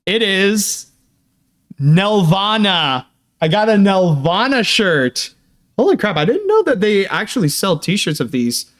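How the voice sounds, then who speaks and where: A young Canadian man chats his way through opening packages from fans, his high voice leaping up and down. He takes his time, full of fillers, restarts and sudden bursts of excitement. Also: takes it slowly. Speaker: channel host opening fan mail. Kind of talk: casual unboxing chat.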